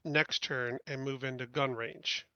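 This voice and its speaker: A man's voice: mid-range voice, fairly even intonation, unhurried, sharp tone, clear, resonant tone, no echo, highs cut off. A man playing a tabletop game, chatting casually about his moves as a player.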